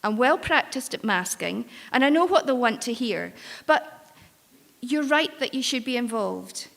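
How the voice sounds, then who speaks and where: A woman's voice: a little high, animated, a little slow, gravelly. A woman playing a world-weary, protective ship's crew member in a live staged drama, wry and reassuring as she coaxes. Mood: firm, reassuring. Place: live on stage in an arts venue. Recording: reverberant hall, live stage performance.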